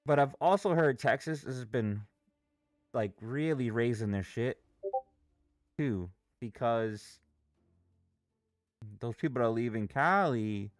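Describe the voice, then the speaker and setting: A man's voice: medium pitch, slow, long pauses, no room sound, clean studio sound. A man streaming live trades, talking casually, restless and impatient, swearing freely as he talks. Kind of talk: casual live trading commentary.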